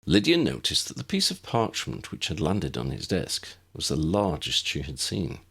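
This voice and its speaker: Guy reading a storybook aloud, husky n creaky, super animated up and down. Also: a bass voice, moderate pace. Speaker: audiobook narrator. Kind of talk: scripted storybook narration.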